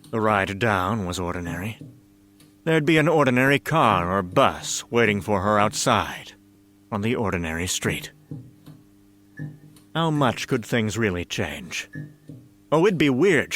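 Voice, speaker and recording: A man narrating a comic story in a dramatic scripted style, with a wry, deliberate storytelling manner. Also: on the low side, fairly bright tone. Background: music.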